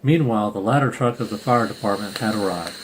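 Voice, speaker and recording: A man's voice: fairly low pitch, fast talker. A man reading a children's picture book aloud informally, with irreverent, sarcastic asides. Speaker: storybook reader and channel host. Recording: clearly noisy home recording.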